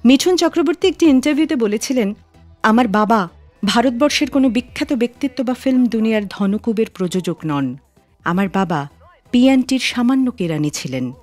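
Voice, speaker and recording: A woman hosting a radio show, reading a scripted narration in an expressive, storytelling way. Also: on the high side, moderate pace. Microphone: close studio microphone. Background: soft music.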